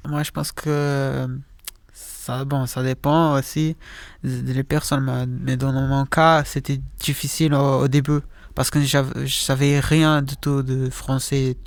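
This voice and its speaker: A man's voice: medium pitch, level tone, slow, no room sound. A young man in his late teens, a native Portuguese speaker, gives a personal testimony as a student, hesitating and searching for words.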